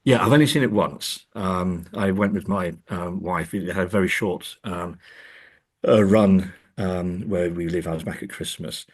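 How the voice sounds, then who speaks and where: A man with a deep, rough-edged voice talks as an academic guest. His conversational delivery is halting, circling back over words as he works out each thought. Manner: hesitant, halting, repeats words while thinking. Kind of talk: conversational interview.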